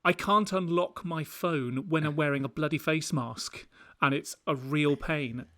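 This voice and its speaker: A man's voice: on the high side, a little slow, fairly bright tone, no echo, studio quality. A British man co-hosting a casual podcast, chatting about technology. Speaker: podcast co-host. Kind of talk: casual tech podcast chat.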